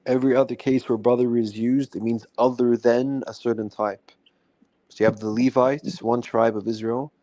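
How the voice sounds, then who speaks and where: A man's voice: mid-range voice, flat delivery, normal speed, dark tone. A man from Lebanon in an informal religious debate, explaining his argument and often checking with the listener. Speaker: debate panelist.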